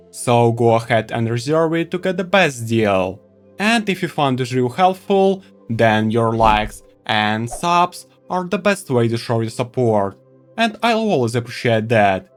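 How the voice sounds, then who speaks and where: A man with a medium-pitched voice hosts a product review in non-native English. He narrates from loose scripting with expressive, enthusiastic phrasing. Soft music plays behind his voice. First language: not English. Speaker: tech reviewer and video host.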